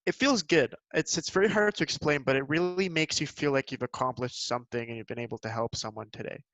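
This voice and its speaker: A man's voice: mid-range voice, quick pace, fairly bright tone, no room sound. A young man, a university student guest on a podcast, speaking earnestly and agreeably with some hesitation. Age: young adult. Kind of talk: casual conversational interview. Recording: slightly dull lo-fi sound.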